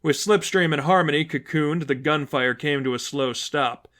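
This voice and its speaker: A male narrator reads scripted fiction aloud. His voice sits a little higher than most men's, and he delivers the prose as story narration. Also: moderate pace, a bit bright.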